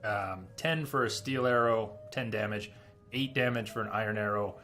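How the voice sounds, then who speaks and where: A man's voice: on the low side, moderate pace, sharp tone. Man musing through casual gameplay commentary. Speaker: video game streamer. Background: game music and rain.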